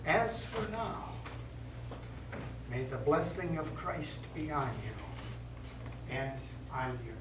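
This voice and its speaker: Stage priest, male actor: gentle, pastoral, measured; animated intonation, heard from far off in an echoey room. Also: fairly high pitch, exaggerated voice. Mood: solemn counsel. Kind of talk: stage play acting. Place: theatre studio stage. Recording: echoey stage, distant mic, noisy.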